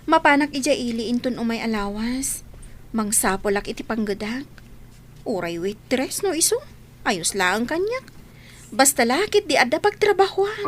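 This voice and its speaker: An actress playing an earnest, dutiful young village woman in a radio drama, speaking reassuringly. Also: high voice. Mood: hopeful. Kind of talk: Ilocano radio drama acting. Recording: faint noise and ambience behind.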